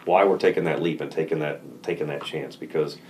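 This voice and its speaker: A man talking casually in an interview as a lawyer, relaxed, laughing easily and restarting his sentences. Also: extremely low pitch, fairly quick, slight background noise. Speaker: lawyer, firm co-founder.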